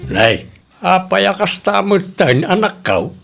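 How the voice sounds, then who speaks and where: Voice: mid-range voice, big pitch swings, slow, creaky, fairly bright tone, faint music behind, exaggerated voice. A performer playing a concerned, exasperated old father who nags and scolds in a radio drama. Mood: caring, nagging.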